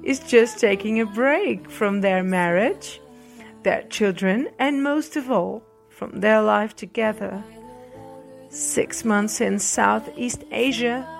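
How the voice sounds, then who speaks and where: A woman podcast host whose first language is Portuguese speaks very slowly, with a gravelly edge to her voice. She recommends books and reads passages aloud, hesitating often. Soft music plays faintly behind her. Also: mid-range voice. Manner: unhurried, hesitant, with fillers and restarts. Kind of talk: casual book recommendations and reading aloud.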